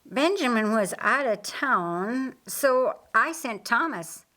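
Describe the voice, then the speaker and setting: A woman's voice: on the high side, lively intonation, takes it slowly, sharp tone, voice pushed up, heavily acted. A woman voicing an inquisitive, easygoing brother in an audiobook, with a conversational manner. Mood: sheepish.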